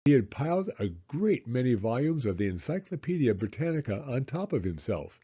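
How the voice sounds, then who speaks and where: Male read-aloud narrator for children's books: very animated pitch, soft-edged tone, scripted and expressive. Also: moderate pace, husky, lo-fi sound. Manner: expressive, acts out dialogue. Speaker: storyteller reading a children's book aloud.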